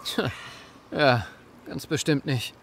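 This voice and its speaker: A man's voice: mid-range voice, slow pace, slight background noise. A man playing a sceptical, cautious survivor in a horror audio drama.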